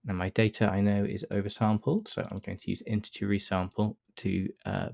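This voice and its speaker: Deep gravelly man with a stuffy cold. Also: fairly quick, no room sound. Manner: relaxed step-by-step explaining with many uh fillers. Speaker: astrophotography tutor. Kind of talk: screen-recorded software tutorial.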